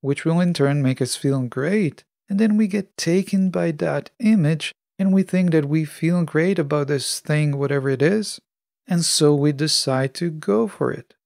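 A man hosting a podcast, delivering a scripted motivational monologue with measured, deliberate phrasing. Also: a little high, gravelly, a little mellow, no room sound, clean studio sound. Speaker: podcast host.

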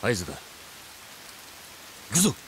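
An actor playing a calm samurai squad leader in a video game, terse and commanding. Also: male voice, on the low side, unhurried, noisy background. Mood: controlled. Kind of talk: dramatic video game acting.

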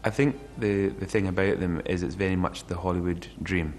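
Low-pitched man in an interview. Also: steady tone, brisk, faint music behind. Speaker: interviewed commentator. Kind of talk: conversational interview.

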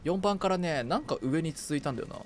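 Lively higher-pitched man in gaming chat. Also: fast talker, faint music behind. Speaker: livestreamer playing co-op game.

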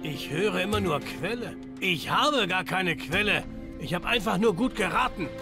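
A betrayed, loyal enforcer from a video game. The voice is gravelly and a little high for a man, blunt and gruff, swearing freely. Music plays clearly behind it. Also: lively intonation, normal speed. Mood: gruff, determined. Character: embittered, foul-mouthed betrayed male enforcer, loyal comrade. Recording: background music.

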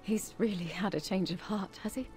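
A woman's voice: medium pitch, normal speed, darkish tone, breathy edge. Female elf forger, fantasy game: grieving, subdued, solemn, medium register, faint music underneath. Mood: wary. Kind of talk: fantasy video game dialogue.

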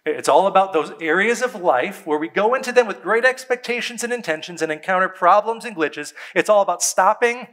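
Bright-voiced pastor preaching. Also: male voice, sits high, lively intonation. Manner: conversational, emphatic, with dramatic pauses and drops to a soft voice. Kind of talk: sermon. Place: church stage before a seated congregation.

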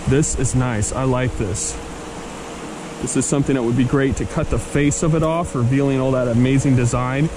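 A young man vlogging outdoors on a forest riverbank, talking eagerly to a handheld camera about the rocks he finds. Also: medium pitch. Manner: enthusiastic, chatty, talks to camera and his dog. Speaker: vlogger, rockhound. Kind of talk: casual outdoor vlog narration. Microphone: action camera held at arm's length. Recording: noisy outdoor camera audio.

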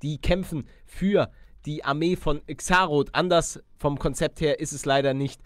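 A young man with a slightly high voice commentates on a video game in a casual, animated way. He uses plenty of slang and talks chummily to his viewers. The sound is dry and close. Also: measured pace, no room sound. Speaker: YouTube gamer, let's play host.

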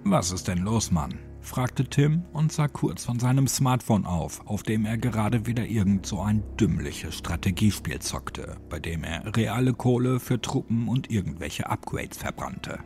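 A man's voice: on the low side, expressive, a little slow, creaky, few pauses, dark tone. A man narrating a horror story as a dramatic audiobook reading. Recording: music behind the voice. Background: music.

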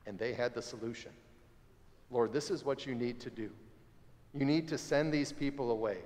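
A man in his forties preaching a sermon as pastor, conversational and animated, standing by the altar of a church sanctuary. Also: medium pitch. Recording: long church reverb.

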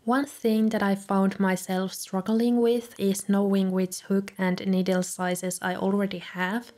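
A young woman, a native Finnish speaker, calmly narrating a voice-over for her video with soft laughs between remarks. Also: mid-range voice, unhurried. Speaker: journaling video creator. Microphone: voice-over recorded separately.

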